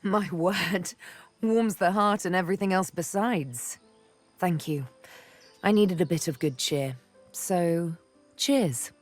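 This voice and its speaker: A vengeful elf huntress from a fantasy video game, speaks low and slow over background music. She is arch and sardonic, placing each phrase with teasing, theatrical care. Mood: playful. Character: sardonic, vengeful female elf, former slave turned huntress. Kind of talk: video game character dialogue.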